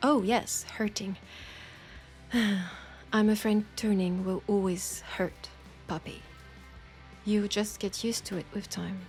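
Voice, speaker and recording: A woman's voice: medium pitch, steady tone, slow pace, long pauses, darkish tone, faint music behind, natural voice. A woman with a French accent playing a teasing, nurturing witch who tames werewolves, chatting playfully and coaxingly to the listener in an audio roleplay. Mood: gentle, reassuring. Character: teasing, nurturing female witch, self-styled werewolf tamer.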